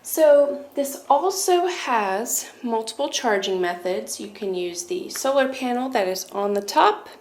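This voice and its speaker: A woman's voice: on the high side, expressive, a little slow, few pauses, slight background noise. A woman presenting a casual product demonstration, explaining things step by step.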